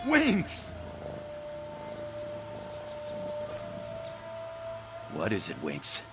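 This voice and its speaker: A man voicing an earnest adventurer devoted to his cat in a video game, with a deep, gravelly voice. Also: huge pitch swings, takes it slowly, over loud music, full character voice. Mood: excited.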